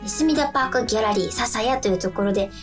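A woman's voice: fairly high pitch, fast, music in the background. A woman co-hosting a radio show, chatting casually and giving announcements in a polite manner.

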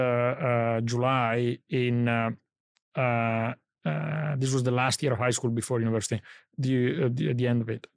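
A middle-aged Italian-accented man speaks as a podcast guest, his pitch sitting squarely in the middle range. He pauses often to think aloud as he recounts his path, and the studio sound is close and dry with no room echo. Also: vocal fry. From Italy. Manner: reflective, thinks aloud while telling his story. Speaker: guest, company founder. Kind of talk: podcast interview.

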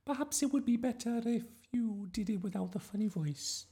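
Man putting on a high, gushing lady drama teacher. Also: moderate pace, dark tone. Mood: patient, coaxing. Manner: sing-song, exaggeratedly polite. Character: gushing, theatrical young woman drama teacher. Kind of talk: children's audiobook character voice.

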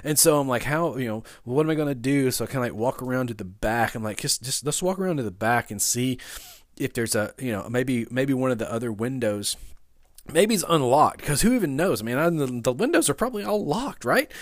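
A man, a father of young sons, giving a conversational sermon that opens with a personal story. He talks in a loose, halting way, with many restarts and fillers. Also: mid-range voice, lively intonation, dry recording, studio quality. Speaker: pastor.

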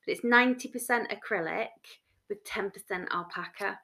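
A woman co-hosting a casual crafting podcast, chatty and excitable, often laughing. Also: mid-range voice, normal speed, vocal fry. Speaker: podcast co-host. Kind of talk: casual chat.

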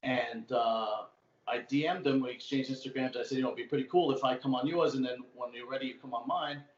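A man's voice: medium pitch, fairly even intonation, fairly quick. A man with an old-school Brooklyn accent, a podcast guest chatting casually and telling long stories with loose swearing. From Brooklyn, New York. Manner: talkative storyteller, swearing freely. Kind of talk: casual chat. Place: home room, remote call. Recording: echoey room, dull lo-fi sound.

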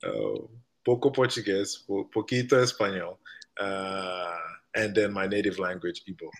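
A man's voice: low voice, lively intonation, normal speed. A man in his thirties from Nigeria, chatting as a podcast guest, laughing often and filling gaps with "uh". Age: 30s. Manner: laughs often, many fillers. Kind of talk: casual interview chat.

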